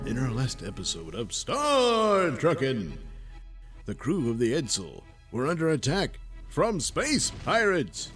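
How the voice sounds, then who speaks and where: A male narrator delivers a mock-dramatic serial script. His medium-pitched voice moves widely up and down. Clear music sits behind him. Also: huge pitch swings, slow, fairly bright tone.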